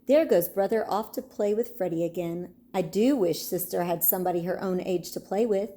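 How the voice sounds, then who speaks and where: A woman voicing a caring, watchful mother bear with a gentle, expressive manner in a children's storybook. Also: on the low side, normal speed, close to an everyday voice. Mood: concerned.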